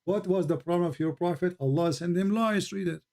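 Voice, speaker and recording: A man's voice: sits high, brisk, vocal fry, mellow. A man whose first language is Arabic, hosting a heated livestream debate, insistent and repetitive, talking over his caller. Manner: insistent, repeats questions, talks over caller.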